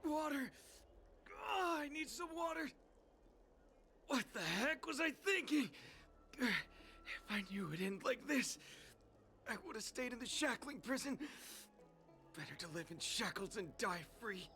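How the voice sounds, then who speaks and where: A panicked, injured runaway prisoner from a video game. The voice is piercingly bright and pained, begging in desperation over music. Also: medium pitch, lively intonation, measured pace, long pauses. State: desperate, in pain. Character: panicked, wounded escaped prisoner, desperate and pleading. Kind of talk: game voice acting.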